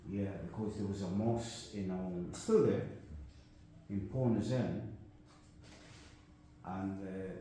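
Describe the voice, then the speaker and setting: Older guyanese guy rambling on, lowish mellow voice, big echo. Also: slow pace, pauses often. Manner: rambling, often asks "you know what I mean?". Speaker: discussion guest. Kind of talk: reflective casual discussion. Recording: echoey room, faint noise.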